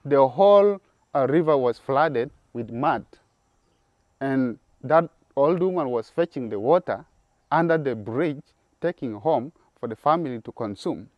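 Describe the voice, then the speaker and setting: Man, earnest, measured, documentary interview, dry close sound. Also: mid-range voice, no room sound, highs cut off. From South Sudan.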